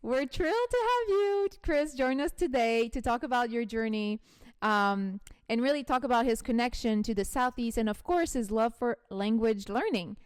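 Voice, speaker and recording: A woman's voice: fairly high pitch, lively intonation, moderate pace, smooth, no room sound. A woman from Quebec with a Québécois French accent, whose first language is French, hosting a podcast interview in a chatty, reflective way. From Quebec, Canada. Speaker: podcast host.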